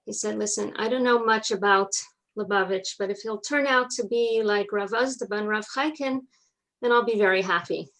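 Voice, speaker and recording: A woman's voice: mid-range voice, normal speed, a bit bright, rough recording. A woman with a North American accent, speaking as an interview guest and recounting family history in a conversational way. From North America (New York, Atlanta, Montreal). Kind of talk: personal family storytelling in conversation.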